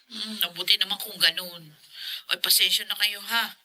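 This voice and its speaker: A woman's voice: mid-range voice, fairly even intonation, moderate pace, pushed and bright. A woman playing a caring, hard-up older sister, concerned and apologetic, in a Filipino radio drama.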